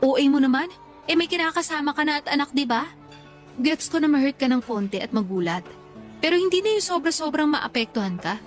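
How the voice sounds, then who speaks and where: A woman's voice: sits high, lively intonation, fairly quick. A woman playing a frank, teasing best friend in a Tagalog radio drama, talking in playful slang. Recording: music behind the voice.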